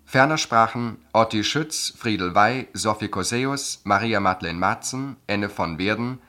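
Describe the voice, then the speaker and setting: A man working as a radio announcer, reading scripted credits in a measured, formal manner. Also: lowish voice, expressive, pushed and bright.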